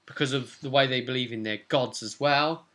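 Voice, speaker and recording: A man's voice: medium pitch, normal speed, fairly bright tone. A young man, the host and game master, casually recapping a tabletop role-playing session from a room at home. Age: young adult. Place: small room at home, indoors.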